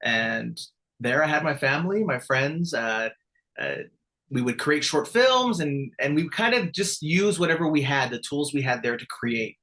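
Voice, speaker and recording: A man in his forties from Texas, a film director being interviewed, answering in an earnest, slightly halting way. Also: fairly high pitch, lively intonation, sharp tone. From El Paso, Texas.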